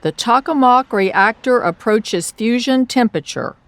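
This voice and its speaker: Woman as worried kindly young boy, animated intonation, lightly high voice, radio play acting, coaxing. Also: measured pace, dry recording. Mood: earnest, coaxing. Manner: questioning, exclaiming, coaxing.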